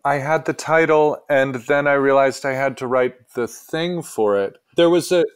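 A man giving casual interview answers as a playwright, halting and self-deprecating as he thinks aloud. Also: mid-range voice, smooth.

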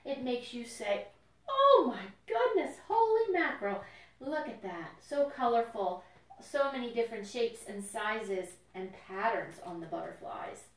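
A woman with a fairly high voice presents a storytime for young children. She explains things simply and expressively, as if talking to little friends. The sound is echoey and a bit distant from the microphone. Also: very animated, a little slow. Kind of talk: library storytime read-aloud and nature explaining for young children. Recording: roomy echo, distant microphone.

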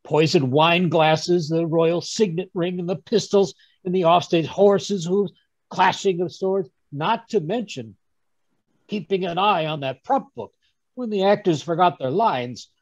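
A man playing a genial, fussy older English gentleman, chattily recounting his adventures in old-time radio drama style, with a husky voice. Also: high-pitched, a little slow, no echo. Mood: flustered, animated. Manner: chatty, chuckling, rambling storyteller with stammered asides. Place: home room on a group video call. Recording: dull, dry video-call audio.

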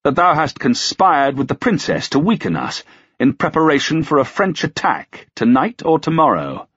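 Man voicing an imperious, sneering medieval duke, husky and animated. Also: fairly high pitch, moderate pace, no echo, muffled recording, pitched above the performer's own voice, full character voice. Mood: silken suspicion. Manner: archaic lordly speech, commanding, sardonic. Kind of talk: dramatised audiobook dialogue.

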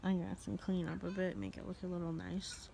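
Young adult female vlogger, slightly low voice, casual and rambling, clearly noisy handheld phone sound. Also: level tone, brisk, warm tone. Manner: informal, rambling, talks to a pet. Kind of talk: casual vlog chat.

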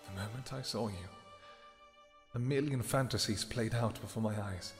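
A man's voice: fairly low pitch, moderate pace, lightly acted. Man acting a devoted vampire king over music. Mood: tender, devoted. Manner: formal, archaic, intimate phrasing. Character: devoted young royal vampire king, tender and possessive lover. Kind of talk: romantic fantasy audio roleplay.

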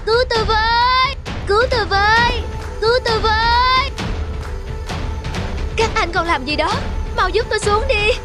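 High pitched bossy foolish cartoon guy, loud music behind. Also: normal speed, long pauses. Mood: panicked. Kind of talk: cartoon voice acting.

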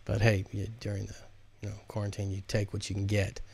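A man's voice: on the low side, completely flat delivery, brisk, gravelly, darkish tone, slightly rough. A man in his fifties hosting a live stream, speaking as an author who chats informally and reads his audiobook aloud. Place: indoor studio, close up, virtual backdrop. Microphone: large microphone on a stand in front of him.

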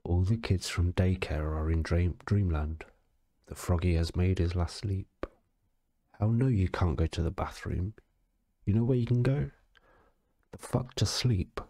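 A tipsy man reading a bedtime story in a deadpan, sweary way, stumbling now and then. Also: sits low, dry recording, studio quality. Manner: slow, sweary, deadpan, stumbling over words. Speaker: story reader. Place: alone in a quiet, dry-sounding space.

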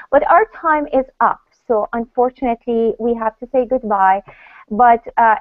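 A middle-aged woman from Iran leads a panel discussion over a video call at home. She talks in a brisk, courteous way and steers the conversation firmly. Her voice comes through a tinny, phone-like call line with a dry, close sound. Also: mid-range voice, no room sound. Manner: brisk, polite, wraps up firmly. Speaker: moderator. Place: home room, indoors. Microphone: laptop webcam video call.

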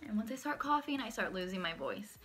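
Young woman beauty vlogger, bright voice, chatty and excited, kinda sick with a cracky voice, filming at home. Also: medium pitch, fairly quick, soft background music. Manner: chatty, enthusiastic, shows each brush to camera. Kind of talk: casual product walkthrough. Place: small room at home, indoors.

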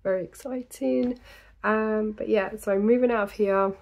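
A woman in her thirties from the UK talks straight to the camera at desk distance in a small indoor room, her mid-pitched voice a little gravelly as it starts to give out. She rambles in a relaxed, chatty way, with plenty of ums and quick laughs. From United Kingdom. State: losing her voice, slightly gravelly. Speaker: crafting podcast host. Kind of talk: casual chat to camera.

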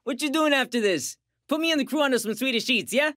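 An eager young video game agent. His voice is very high and bright, with a breezy, slangy friendliness, and the recording is dry. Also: animated, quick pace, no echo, full character voice. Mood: eager, friendly. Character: upbeat, friendly young male agent with a crew.

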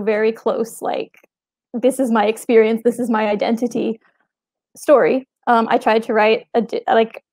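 A woman speaks from a room at home over a video call. Her voice sits fairly high, and she talks in a loose, chatty way, full of "like" and "um". Her laughter keeps breaking in. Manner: chatty, frequent "like" and "um" fillers, laughs often. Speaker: author panelist. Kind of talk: casual panel talk. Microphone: video call webcam mic.

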